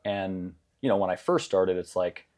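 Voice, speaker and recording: A man's voice: sits low, moderate pace, darkish tone. A young man answering interview questions in a casual, hesitant way, with many fillers and restarts. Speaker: gym member being interviewed.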